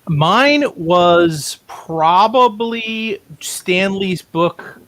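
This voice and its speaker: Middle-aged male interview guest, bright and slightly high voice, knowledgeable rambling storyteller. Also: very slow, no echo. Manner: informed, discursive, self-correcting. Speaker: writer and playwright guest.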